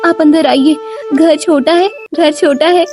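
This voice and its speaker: A woman voicing a humble, loving younger village sister in an earnest, gentle way for a cartoon story. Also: sits high, fairly even intonation, unhurried, music in the background, pitched above the performer's own voice. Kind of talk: Hindi cartoon moral story dialogue.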